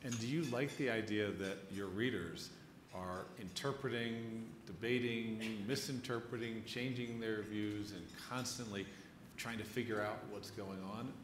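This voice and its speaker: A man moderating an onstage literary interview, putting questions in deliberate, measured phrases. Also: lowish voice, steady tone. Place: live onstage event before an audience. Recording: echoey room, clear background noise.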